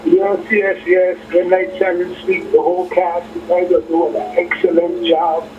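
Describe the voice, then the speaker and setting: A man's voice: sits high, little pitch movement, a little slow, a crowd in the background. A man calling in to a radio show, talking casually and informally over a phone. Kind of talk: casual, informal call-in talk. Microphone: phone call into studio. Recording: muffled phone call, distant, faint noise.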